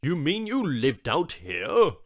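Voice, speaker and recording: A man's voice: on the high side, wildly swooping pitch, slow pace, pitched above the performer's own voice, full character voice. A man voicing an eager, rambling, sycophantic corporate employee in an audiobook story. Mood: ingratiating. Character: chatty, sycophantic male office suit.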